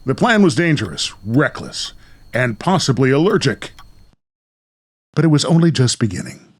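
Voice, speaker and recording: Very animated man narrating and hosting. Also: mid-range voice, moderate pace, slightly rough. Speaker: host and narrator. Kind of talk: radio play narration and casual hosting.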